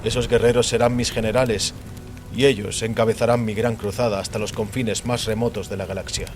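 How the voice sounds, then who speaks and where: A man voicing a calm, imperious warrior emperor in dramatized audio fiction, solemn, commanding and utterly self-assured. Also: fairly low pitch, a little fast, music in the background, lightly acted. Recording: clearly noisy, rain behind voice. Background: rain.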